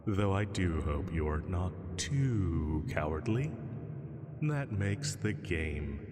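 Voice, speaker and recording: A man's voice: deep voice, expressive, takes it slowly, darkish tone. A man playing a sly ancient dragon in an audio drama, condescending and menacing, drawing out his taunts theatrically. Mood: mock approval. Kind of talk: scripted roleplay audio drama monologue. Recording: long cavernous reverb.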